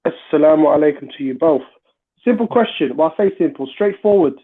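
A man calling in who asks his question in a brisk, direct way. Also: a little high, lively intonation, breathy edge. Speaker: caller. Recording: tinny phone line.

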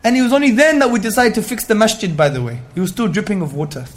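High-pitched man preaching emphatically. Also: very fast. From Greek heritage. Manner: emphatic, rhetorical, peppered with Arabic phrases. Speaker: Islamic lecturer. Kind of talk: religious talk to an audience, with questions.

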